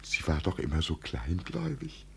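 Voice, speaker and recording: Old self-styled emperor, creaky voice, wide swings of pitch, tremulous grandeur, 1950 radio play. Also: male voice, big pitch swings, normal speed, fairly bright tone, heavily acted. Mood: proud, dreamy. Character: deluded old father who believes himself an emperor. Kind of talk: 1950 German radio drama acting. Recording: noisy vintage 1950 radio recording.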